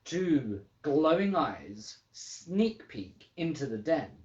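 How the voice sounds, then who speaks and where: A woman hosting a children's story time, chatting casually and reading aloud in a playful, expressive way. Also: deep voice, takes it slowly. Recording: roomy, slightly dull home recording.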